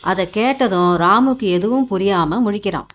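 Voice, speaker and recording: A woman narrating an animated story in a colloquial storytelling style. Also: medium pitch, moderate pace, faint music behind.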